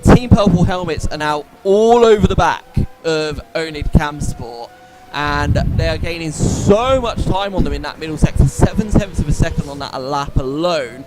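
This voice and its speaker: A man's voice: fairly high pitch, moderate pace, over loud music, poor audio. A man commentating a sim race live, with a slightly nasal, posh-sounding voice and a chatty, explaining manner. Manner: animated, chatty, explains racing lines.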